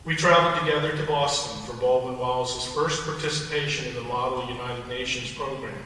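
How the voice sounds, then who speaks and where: A man whose first language is Hungarian reads a prepared memorial tribute in a measured, earnest way, as an alumnus and trustee. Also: mid-range voice, fairly even intonation, pushed and bright, a little hiss or hum. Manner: measured, earnest reading pace. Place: large hall at a memorial service. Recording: distant echoey hall recording.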